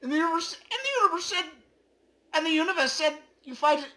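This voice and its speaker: High, very bright man declaiming theatrically. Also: expressive, fast. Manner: theatrical, over-the-top declaiming. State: hoarse. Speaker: reader. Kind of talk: comedic dramatic reading.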